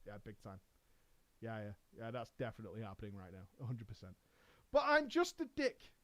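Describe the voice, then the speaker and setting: A man's voice: fairly low pitch, wildly swooping pitch, a little fast, fairly bright tone. A man streaming, reading a viewer's story aloud and commenting on it sarcastically, swearing and laughing often. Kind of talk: reaction commentary on a read-out story.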